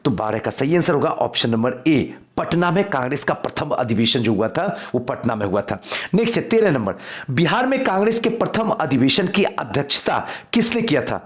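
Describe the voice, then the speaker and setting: A man's voice: a little high, sing-song, a little fast. A man, a coaching teacher giving an emphatic quiz-style revision lecture straight to his students.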